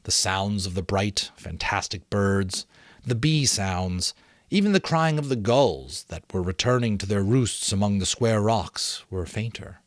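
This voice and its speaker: A middle-aged man giving a dramatic, expressive audiobook-style reading of a novel in a measured storytelling manner. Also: lowish voice, no room sound, studio quality. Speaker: amateur audiobook reader.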